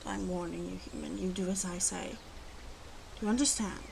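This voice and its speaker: A woman playing a protective, bossy female werewolf in a soft-spoken ASMR roleplay, murmuring and often slipping into whispers. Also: on the low side, steady tone, a little fast. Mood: stern, protective. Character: female werewolf, gently protective, gruff, bossy toward humans. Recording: clearly audible ambient background noise.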